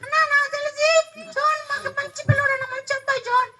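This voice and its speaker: A performer voicing an earnest, scolding female puppet teacher in a children's church show, squeaky and pleading. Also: little pitch movement, quick pace, distant mic, a loud crowd. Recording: noisy live hall with clicks.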